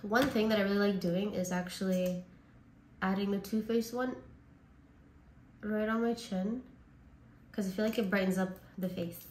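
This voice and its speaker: A woman from Canada, hoarse and raspy from a cold, chatting casually as a beauty vlogger through a makeup tutorial. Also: medium pitch, steady tone, normal speed, long pauses, a little mellow, roomy sound, a little hiss or hum. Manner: chatty, says like and honestly a lot.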